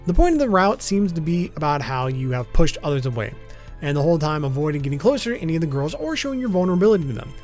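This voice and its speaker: Higher-pitched man narrating, lively intonation. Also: fast talker. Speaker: video essay narrator. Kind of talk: informal scripted commentary on a list of topics. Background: background music.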